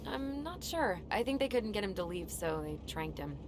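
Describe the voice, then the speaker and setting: A woman's voice: mid-range voice, very animated, moderate pace. A woman acting a friendly, supportive young American woman with a playful, casual manner in naturalistic video game dialogue. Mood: amused. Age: young adult. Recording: game stream with clear background noise.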